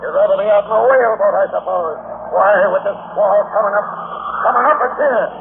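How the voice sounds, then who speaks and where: A man's voice: high voice, a little fast. An actor playing a bold, commanding sea captain with salty seaman's talk, in 1940s radio drama style. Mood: barking orders. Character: gruff, bold sea captain, greedy for treasure. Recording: noisy, tinny 1940s radio recording.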